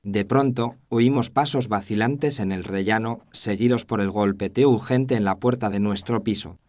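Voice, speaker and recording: A man's voice: lowish voice, moderate pace, a little mellow, dry recording. A man narrating a scripted first-person detective story in a formal, literary storytelling manner.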